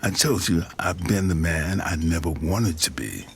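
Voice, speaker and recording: A man's voice: low-pitched, lively intonation, normal speed, vocal fry, slight background noise. A man acting a weary, plainspoken middle-aged father in an audio drama. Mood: somber, confessional. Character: weary, plainspoken father, haunted by his failings.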